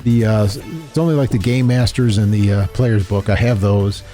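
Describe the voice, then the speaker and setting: A man's voice: lowish voice, moderate pace, warm tone, soft background music. A middle-aged man hosting a podcast, chatting loosely about a tabletop game with lots of fillers and restarts. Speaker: host presenting a tabletop game. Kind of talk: casual podcast chat. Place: shop or studio room.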